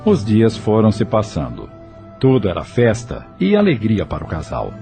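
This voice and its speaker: A male narrator tells a scripted story in a Brazilian accent. His voice is low and mellow, with very animated intonation, as in a dramatic audio novel. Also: normal speed, vocal fry. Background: soft music.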